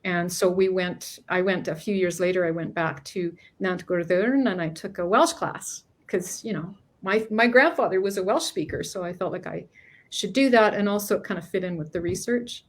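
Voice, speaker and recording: A woman's voice: on the low side, moderate pace. A middle-aged woman from Canada, a guest author chatting casually in an interview, often restarting sentences and laughing between thoughts.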